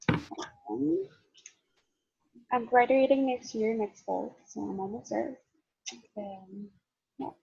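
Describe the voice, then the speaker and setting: A woman's voice: fairly high pitch, slow, creaky, long pauses, dark tone, breathy edge, no room sound, muffled recording. A young woman from El Salvador, talking casually as a participant in a student discussion. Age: young adult.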